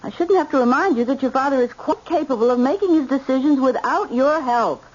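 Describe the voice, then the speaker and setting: Fussing, high-voiced wife and mother. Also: brisk, darkish tone, slightly rough, lo-fi sound. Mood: firm, defensive. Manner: nagging, motherly, chiding. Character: fussing, protective middle-aged wife and mother, firm. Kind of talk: old-time American radio drama acting. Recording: band-limited vintage radio recording, faint noise.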